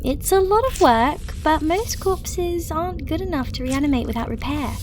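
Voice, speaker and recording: A pragmatic female necromancer played by a woman with a fairly high voice, chatting to the listener in an audio roleplay. She is casual and matter-of-fact, like a craftswoman at work. There is some faint noise behind her. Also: darkish tone, lightly acted. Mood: chatty, upbeat.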